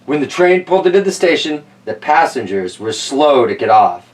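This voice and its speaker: A man's voice: mid-range voice, very animated, normal speed, distant mic. A man reading a children's story aloud, with casual, folksy, rambling asides as host.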